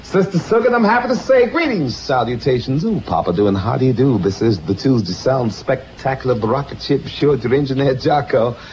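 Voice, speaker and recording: A hoarse man working as a radio disc jockey, rattling off showy rhyming slang patter on an old radio broadcast. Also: mid-range voice, animated, brisk, few pauses, darkish tone, slight background noise. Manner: showy, rhythmic hipster slang. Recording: dull lo-fi vintage radio aircheck.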